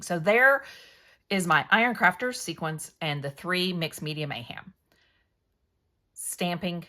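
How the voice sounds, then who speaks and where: A hoarse, middle-aged woman talks through her crafting project in a low voice. Her tone is chatty and meandering, and she often corrects herself mid-sentence. The sound is picked up by a camera above her craft table at home. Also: fairly bright tone. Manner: chatty, rambling, self-correcting. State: hoarse from yelling at a game. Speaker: scrapbooking channel host. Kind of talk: casual crafting tutorial narration.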